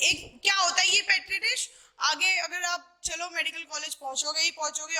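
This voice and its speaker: A woman's voice: sits high, bright, forceful tone, low-quality recording. A young woman with an Indian accent teaching biology, mixing Hindi and English, explaining step by step and often checking whether students understood. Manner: explanatory, asks frequent check questions.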